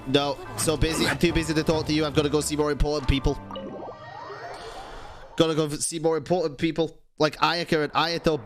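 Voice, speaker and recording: Male game streamer, casual reaction commentary, slightly high voice, animated, slangy, music behind. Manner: expressive, reacts with slangy exclamations.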